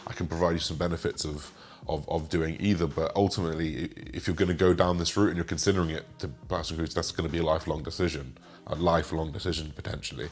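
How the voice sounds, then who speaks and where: Deep-voiced guy a bit raspy today, chatty fitness vlogger, lots of um and like. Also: steady tone, quick pace. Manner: chatty, rambling, many fillers and restarts. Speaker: fitness vlogger answering viewer questions. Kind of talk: casual Q and A monologue.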